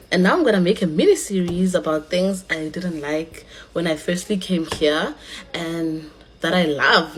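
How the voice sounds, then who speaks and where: A young woman from South Africa talks casually to her camera, lively and drawn out on the words she stresses. Her voice sits a little low for a woman, with a faint hum of the room behind her. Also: low-quality recording. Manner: chatty, animated, drawn-out emphasis. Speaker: vlogger.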